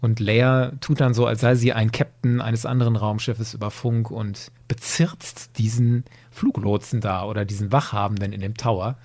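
A man's voice: on the low side, fairly even intonation, normal speed, few pauses, darkish tone. A man chatting informally on a podcast, retelling a story and checking in with his partner. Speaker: podcast co-presenter.

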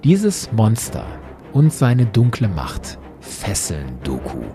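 A man narrating a scripted podcast commentary, explaining with deliberate emphasis. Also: fairly low pitch, huge pitch swings, music in the background. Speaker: podcast host.

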